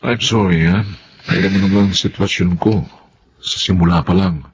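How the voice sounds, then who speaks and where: A man's voice: sits low, fairly even intonation, moderate pace. An actor playing a firm yet placating young father in a Filipino radio drama, with a Filipino accent. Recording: dull lo-fi radio audio, faint noise.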